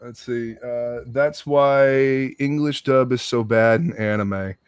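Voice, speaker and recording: A man's voice: mid-range voice, fairly even intonation, takes it slowly, clear, resonant tone, no room sound, studio quality. A man hosting a casual live stream chat, rambling between topics, swearing freely and greeting viewers in the chat. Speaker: stream host.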